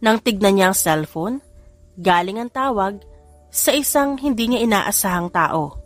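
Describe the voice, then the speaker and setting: A woman's voice: mid-range voice, expressive, a little slow. Woman, Filipino accent, audiobook narrator, scripted dramatic storytelling, music underneath.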